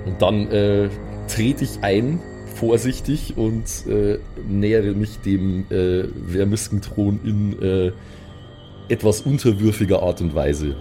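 A man playing in a tabletop role-playing podcast, casually and haltingly narrating his own moves. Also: on the low side, mellow. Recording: faint eerie music under the voice.

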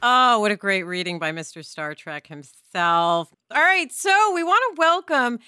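A woman's voice: medium pitch, sing-song, measured pace, pushed and bright, clean tone, no room sound, studio quality. A woman hosting a podcast, talking in a casual, emphatic, conversational way and often checking in with the listener. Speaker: podcast host; television actress.

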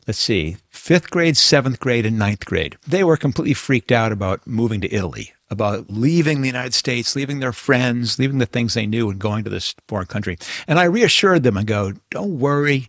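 A man's voice: medium pitch, a bit bright, no echo, clean studio sound. A man in his fifties hosting a podcast interview, enthusiastic and talkative, moving from a scripted intro into easy conversation. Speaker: podcast host.

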